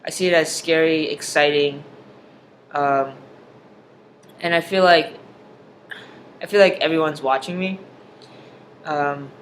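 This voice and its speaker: This is a young man whose speaking voice sits somewhat high for a man. He talks earnestly, often pausing on fillers and restarting his sentences. A faint hiss of noise runs underneath his voice. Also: fairly even intonation, a bit bright. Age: young adult. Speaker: vlogger. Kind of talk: personal video diary.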